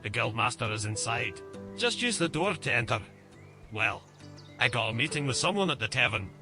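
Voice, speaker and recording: A man acts as an amiable bard in a fantasy audio game. He talks at a medium pitch in an easygoing, chatty way, with music clearly playing behind him. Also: sing-song, moderate pace, lightly acted. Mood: friendly. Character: friendly male bard guiding a newcomer. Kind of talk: audio game character acting.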